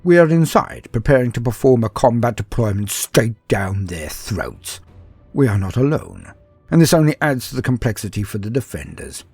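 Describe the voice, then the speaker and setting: A man voicing a proud, battle-hungry space marine in a sci-fi war story, with a husky voice and a haughty, grandiose manner. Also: mid-range voice, sing-song, moderate pace, darkish tone, dry recording, faint music behind. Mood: grim, intense. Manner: haughty, scornful, grandiose, dramatic. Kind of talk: first-person dramatic sci-fi war storytelling.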